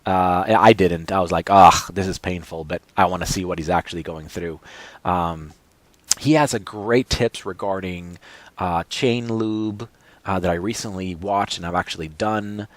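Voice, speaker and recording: A man's voice: low-pitched, normal speed, no room sound. A man hosting a cycling channel, chatting casually and rambling with many fillers and restarts, in a voice he says people call boring. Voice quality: described as boring-sounding. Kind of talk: casual chat reviewing channels.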